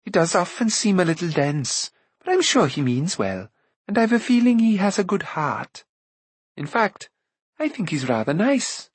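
Brief: man voicing a spirited young princess, teasing and tart, lifted pitch, lively and husky. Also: normal speed, no room sound, full character voice.

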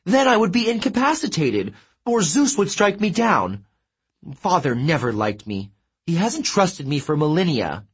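A man's voice: a little high, very animated, measured pace, slightly rough, voice pushed up, heavily acted. A man playing Apollo, a wailing vain sun god. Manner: wailing, theatrical and self-pitying.

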